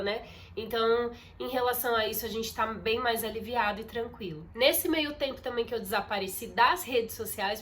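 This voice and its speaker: A woman's voice: fairly high pitch, fairly bright tone. Congested, chatty young woman vlogger. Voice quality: muffled, nasal from congestion. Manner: chatty, confiding, addresses viewers as "gente". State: congested, stuffy nose. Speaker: content creator. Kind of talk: casual vlog talk to camera. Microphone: phone on a ring light.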